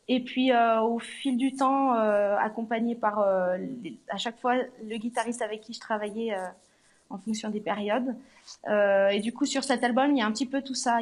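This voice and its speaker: Woman singer, guest interview at a venue; slightly high, hesitant, many fillers. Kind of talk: live interview on location. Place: arts centre venue.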